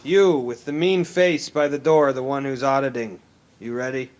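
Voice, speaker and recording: A man playing an unimpressed, deadpan comedy class teacher in a sitcom. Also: mid-range voice, moderate pace, lightly acted. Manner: deadpan, blunt. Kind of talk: sitcom acting.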